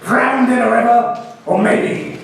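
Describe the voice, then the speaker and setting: A scheming, exasperated villain of a royal father in a stage pantomime, acted by a young man, high-pitched and theatrical. The voice rings through an echoey hall, picked up from far off with noise around it. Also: fairly even intonation, slow, creaky, full character voice. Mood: exasperated, eager. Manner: theatrical, exclamatory. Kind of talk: school pantomime stage acting. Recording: echoey school hall, distant noisy audience recording.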